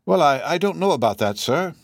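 A man's voice: a little high, very animated, brisk, no room sound, voice pushed up. A working-class housekeeper woman acted by a male reader chatters in a storybook reading. She is plain-spoken, folksy and deferential, with a dry, close sound. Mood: deferential, unsure. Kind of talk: audiobook character voice.

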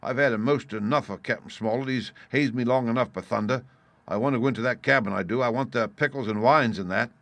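A man's voice: mid-range voice, fast talker, fairly bright tone, no echo, lightly acted. Man doing a grumbling, coarse pirate coxswain. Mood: impatient, grumbling. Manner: growling, rustic sailor dialect. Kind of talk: dramatised storybook reading.

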